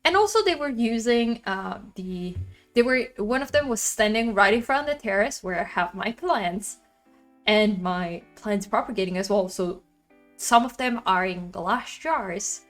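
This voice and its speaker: A woman with a loud voice chatting casually on a livestream from her apartment, rambling and venting about her neighbors. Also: medium pitch, expressive, a little slow, faint music behind. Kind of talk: casual chat.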